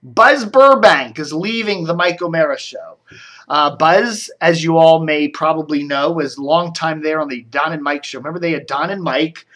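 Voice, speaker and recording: A man's voice: on the high side, sharp tone. A man hosting a casual web show on local radio and TV, chatting off the cuff, often breaking off to think aloud.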